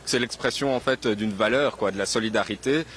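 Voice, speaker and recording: A man's voice: fairly low pitch, brisk, fairly bright tone. A young man conducting street interviews outdoors, explaining patiently with many fillers into a handheld mic. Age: young adult. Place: outdoors on a city square. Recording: faint street noise outdoors.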